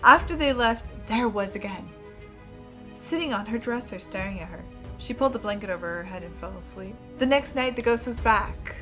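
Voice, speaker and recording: A woman co-host reading stories aloud dramatically, expressive and playful, often breaking off into casual asides. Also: a little high, normal speed, over music. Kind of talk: dramatic story reading mixed with casual banter.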